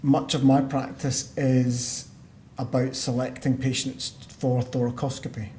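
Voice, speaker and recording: A Scottish man, a lung doctor, giving a measured medical conference talk with frequent fillers and restarts. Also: medium pitch, flat delivery, mellow. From Scotland. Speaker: pulmonologist giving a conference lecture. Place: conference hall. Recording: roomy hall sound, faint background noise.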